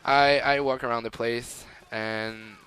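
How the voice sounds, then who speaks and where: A man's voice: medium pitch, steady tone, takes it slowly, fairly bright tone, clean tone, low-quality recording. A young man with a Quebec French accent, rambling through casual gameplay commentary with lots of fillers. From Montreal, Canada. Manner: rambling and chatty, with frequent fillers and laughs. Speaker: gamer.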